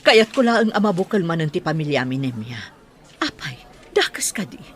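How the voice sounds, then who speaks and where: A woman's voice: deep voice, very animated, moderate pace. A woman with an Ilocano Filipino accent playing a possessive, determined estranged wife in a radio drama. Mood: defiant.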